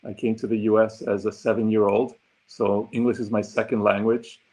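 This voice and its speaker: A man in his sixties from Argentina, whose first language is Spanish, speaks as an interview guest from his home office. His voice is fairly low and a little husky. He talks thoughtfully, often pausing on ums and uhs. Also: little pitch movement, mellow. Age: 60s. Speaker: guest, consultant. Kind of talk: conversational interview answers.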